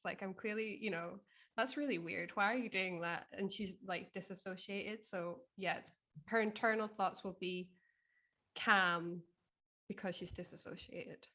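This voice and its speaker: A woman's voice: mid-range voice, sharp tone. A woman in a casual chat as a guest author, thinking aloud and tentatively searching for words. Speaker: guest author being coached.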